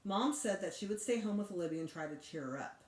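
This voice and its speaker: Echoey, animated woman reading a story aloud. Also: mid-range voice, fairly quick. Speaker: teacher reading aloud to children. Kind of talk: expressive storybook read-aloud. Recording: roomy echo.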